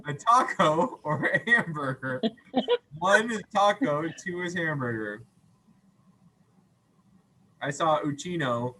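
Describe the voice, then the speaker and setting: A man reading a children's story aloud as a teacher, playful and expressive, with acted sound effects and questions to listeners. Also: a little high, slow pace, long pauses, poor audio.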